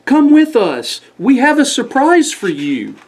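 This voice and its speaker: A man's voice: extremely high pitch, huge pitch swings, unhurried, a little mellow, slight background noise, pitched above the performer's own voice, heavily acted. A man voicing friendly, cheerful squirrel neighbors in a bedtime story, eager and chirpy.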